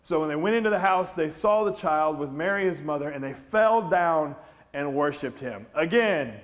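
A middle-aged man with an American accent preaches in a somewhat high-pitched voice. He is a pastor who talks conversationally, retelling scripture like a lively story and tossing questions out to his listeners. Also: moderate pace. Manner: animated storytelling with questions to the congregation. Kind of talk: conversational church sermon. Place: large church stage, indoors.

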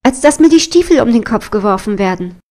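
A woman's voice: on the high side, expressive, fairly quick, warm tone. A woman voicing a humble, poor young orphaned kitchen maid in a fairy tale reading. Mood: meek, humble. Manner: meek, self-effacing.

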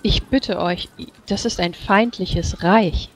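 A woman's voice: medium pitch, slow pace. A woman improvising in-character dialogue in a tabletop role-playing game.

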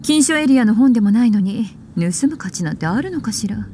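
Woman playing languid teasing witch librarian lady, anime game, highish voice, music playing behind. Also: lively intonation, a little fast, natural voice. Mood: calm, focused. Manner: languid, teasing, softly menacing. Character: poised, teasing adult female witch librarian. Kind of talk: anime video game voice acting.

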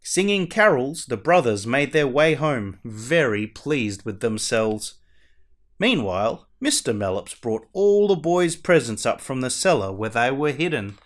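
A man playing a costumed superhero who reads a children's storybook aloud in a theatrical, deliberate way. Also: mid-range voice, big pitch swings. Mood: animated storytelling. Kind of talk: animated picture-book read-aloud.

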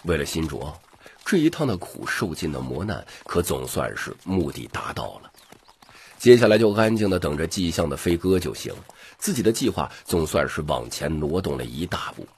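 A man with a rough voice narrating a scripted audiobook story. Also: very deep voice, sing-song, moderate pace, a little mellow.

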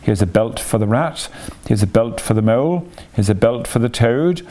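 A man's voice: medium pitch, expressive, moderate pace, mellow, natural voice. A man reading a storybook aloud and voicing an anxious, fussy male water rat who mutters fretfully.

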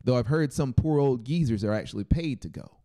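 A cool young cousin in an audiobook, acted by a man, who talks as if he knows it all. The delivery is casual and nonchalant, with a mellow tone. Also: mid-range voice, lively intonation, fairly quick, no room sound, studio quality, pitched above the performer's own voice, natural voice. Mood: cool amusement. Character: cool, knowing, hungry young male cousin. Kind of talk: audiobook character voice.

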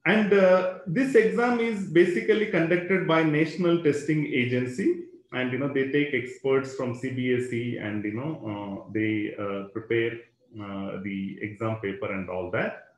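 A man's voice: medium pitch, echoey room, poor audio. Man explaining over a webinar. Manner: explanatory, frequent fillers and tag "right?". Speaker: school educator hosting a webinar. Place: room at a computer, online webinar. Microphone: computer microphone.

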